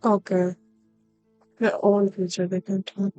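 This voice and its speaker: A woman gives casual live commentary on a game, musing aloud as she goes. Her voice is mellow and fairly flat in pitch, recorded dry with music underneath. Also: a little slow, dry recording, dull audio. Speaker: game streamer.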